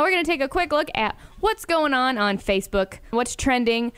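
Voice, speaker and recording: A young American woman hosts a college radio show in a slightly high, clear and smooth voice. She speaks casually between songs, addressing her listeners in a chatty, informal way.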